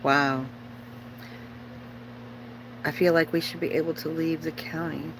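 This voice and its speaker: A woman's voice: low-pitched, little pitch movement, a little slow, noisy background. A middle-aged woman chatting casually on a livestream as host, rambling and opinionated, bluntly repeating herself for emphasis.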